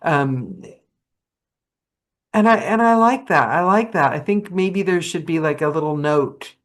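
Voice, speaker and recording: A woman hosting a podcast, chatting animatedly with her guest, with quick asides and self-corrections. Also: deep voice. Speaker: podcast host. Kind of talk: casual interview chat.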